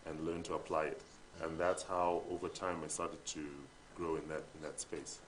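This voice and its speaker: A Zambian man in his forties, a guest on a TV breakfast show, talking in a measured way, laughing often and repeating a word as he starts a sentence. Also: a bass voice, level tone, a little hiss or hum, rough recording. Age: 40s. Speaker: guest, voiceover artist and MC. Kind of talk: casual interview chat. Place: TV studio.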